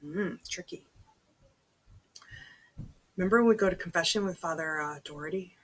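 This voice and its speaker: A woman's voice: fairly low pitch, normal speed, slight background noise, rough recording. A woman reading a novel aloud as a storytelling host, chatty, dropping into casual muttered asides. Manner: chatty, breaks off to comment in undertones.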